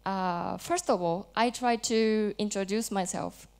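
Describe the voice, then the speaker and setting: A woman from Japan with a Japanese accent, in her thirties, giving a conference presentation in halting, simple English with small restarts. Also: mid-range voice, smooth. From Japan, Hokkaido. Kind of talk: academic presentation with light jokes. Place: conference hall.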